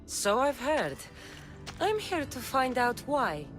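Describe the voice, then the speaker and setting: Blunt, high-voiced female mercenary, music behind. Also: sing-song, takes it slowly, full character voice. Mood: defiant. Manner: blunt, probing. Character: blunt, sardonic young female mercenary warrior in ancient Greece. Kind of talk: dramatic video game acting.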